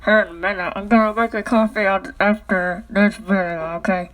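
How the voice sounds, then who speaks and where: Woman vlogger at home talking into a paper towel tube, keeps saying okay?, average pitch. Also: fairly even intonation, moderate pace. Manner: repeats "okay?" after nearly every sentence; talking through a cardboard tube like a megaphone. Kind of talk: rambling casual vlog talk. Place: indoors at home. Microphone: phone.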